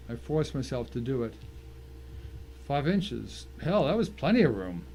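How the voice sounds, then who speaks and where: A man's voice: fairly high pitch, darkish tone, lightly acted, low-quality recording. A man playing a tense, determined man who narrates his own ordeal in a dramatic first-person story, with noise behind him. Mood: tense, focused. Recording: clear background noise.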